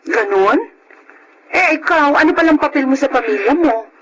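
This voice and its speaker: High, animated, sassy Filipina friend. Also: female voice, normal speed, rough recording. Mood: incredulous, frank. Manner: expressive, incredulous, frank. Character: outspoken, sassy young female friend. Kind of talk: Filipino radio drama acting.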